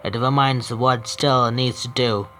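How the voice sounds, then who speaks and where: A man's voice: mid-range voice, fairly even intonation, measured pace, fairly bright tone, slight background noise. A man streaming himself reading a visual novel aloud, often stumbling and correcting himself.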